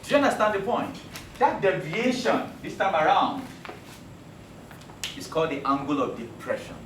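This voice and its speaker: A man's voice: sits high, big pitch swings, normal speed, a bit bright, far from the mic, slight background noise. A man whose first language is Yoruba, teaching a classroom math lesson with jokes, often checking that his students follow. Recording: roomy classroom recording from afar.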